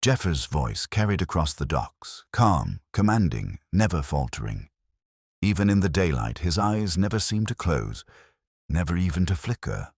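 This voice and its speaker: A man reading a scripted dramatic mystery story in a measured, grave manner. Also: sits low, sing-song, gravelly, slightly rough, no room sound, studio quality. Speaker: audiobook narrator.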